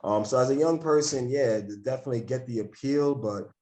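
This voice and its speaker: A young man from Yonkers, talking about his upbringing as a podcast guest in a measured, reflective way, often pausing with ums and clearing his throat. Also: mid-range voice, dark tone. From Yonkers, New York, USA. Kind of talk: casual podcast interview.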